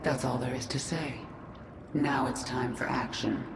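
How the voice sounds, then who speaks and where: A woman's voice: extremely low pitch, fairly even intonation, measured pace, creaky, background noise, exaggerated voice. An actress playing a vengeful alien swarm queen in a video game, cold, resolute and commanding, with a layered processed voice. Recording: echoey, distant, under loud game music.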